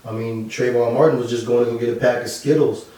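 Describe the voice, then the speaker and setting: A man's voice: medium pitch, fairly even intonation, fast talker, warm tone. A man interviewing a friend, talking emphatically and casually, backing up his guest. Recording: very echoey room, mic far off.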